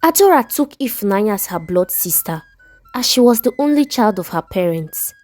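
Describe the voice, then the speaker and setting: A woman's voice: medium pitch, very animated, moderate pace, a little mellow, soft background music. A woman with a Nigerian accent narrating a scripted, dramatic story as a storyteller.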